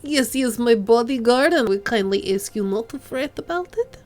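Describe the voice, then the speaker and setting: A woman's voice: on the high side, expressive, normal speed, lightly acted. A woman voicing a brash female runner with a thick Russian accent and broken grammar. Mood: calm.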